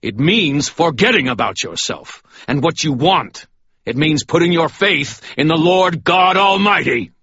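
A man voicing a stern middle-aged holy warrior who preaches loudly and righteously. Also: on the high side, expressive, a little slow, husky, no echo, muffled recording, voice pushed up, heavily acted. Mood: righteous fury.

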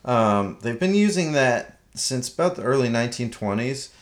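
A man's voice: medium pitch, animated, moderate pace. A man co-hosting a casual sports podcast with a slight Southern accent, talking through what he has looked up with plenty of ums and restarts. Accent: slight Southern American. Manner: chatty, explains research with many fillers. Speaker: podcast co-host.

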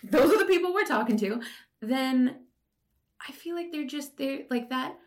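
A woman's voice: high-pitched, expressive, moderate pace. A woman interviewing a guest as host, speaking informally in an encouraging, reassuring way. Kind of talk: casual conversational interview.

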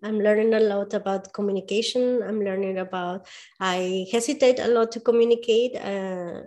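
A woman with a Spanish accent, a native Spanish speaker, talking as a movement coach in a casual interview. She speaks earnestly and often pauses to search for her words. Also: mid-range voice, fairly even intonation, darkish tone.

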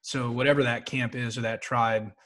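A man hosting a podcast interview, talking in a relaxed way and affirming his guest. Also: mid-range voice, normal speed. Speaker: podcast host. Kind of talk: casual interview talk.

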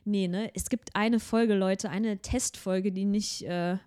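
A woman's voice: mid-range voice, fairly even intonation, slow pace, a little mellow, smooth. A young woman co-hosting a podcast in casual chat, rambling with lots of fillers and restarts. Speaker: podcast co-host.

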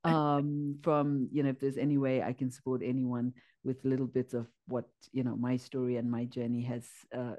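A woman from Zimbabwe, a podcast guest telling her life story in an earnest way, often pausing and searching for words. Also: a bass voice, flat delivery, dark tone. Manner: earnest, searching for words with many fillers and laughs. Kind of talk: casual interview, personal story.

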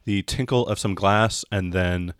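A man with a fairly low voice runs a tabletop game. He describes the scene haltingly, improvising as he goes, and the recording is very dry. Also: clean tone, dry recording. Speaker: game master.

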